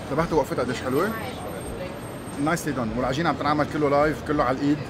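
A middle-aged man with a Lebanese accent hosts his food vlog, talking at a racing clip in a slightly high voice. He moves animatedly between Arabic and English. It is recorded on a phone out on a noisy city street, with traffic clearly audible. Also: steady tone, racing. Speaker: food vlogger. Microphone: handheld phone at arm's length. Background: street traffic noise.

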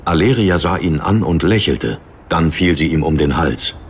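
A man's voice: extremely low pitch, animated, moderate pace, slight background noise. A man reading scripted dramatic narration for a fantasy audiobook.

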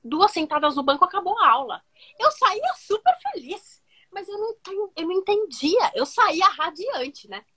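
A woman, telling stories on a video call from a room at home, animated and full of fillers. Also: extremely high pitch, moderate pace. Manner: animated, gestures a lot, many fillers. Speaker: music teacher guest. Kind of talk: casual storytelling interview.

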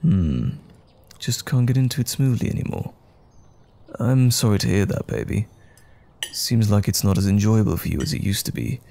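A man playing a loving, caring boyfriend in an intimate comfort audio roleplay, speaking gently and soothingly with affectionate teasing. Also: on the low side, lively intonation, moderate pace, gravelly. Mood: encouraging.

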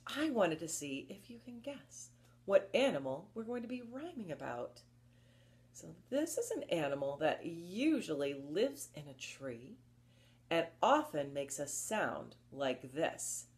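Animated woman leading children's story time. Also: low voice. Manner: expressive, encouraging, asks listeners questions, slow deliberate delivery. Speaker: library story time presenter for young children. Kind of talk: children's story time with rhymes and picture book reading. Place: indoors, small book-lined room at home.

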